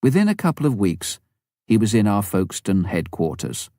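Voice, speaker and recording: A man with a low-pitched voice narrates a memoir as an audiobook reader. His intonation moves up and down in a lively way, and the sound is close and dry, with very little room in it. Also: moderate pace, no room sound.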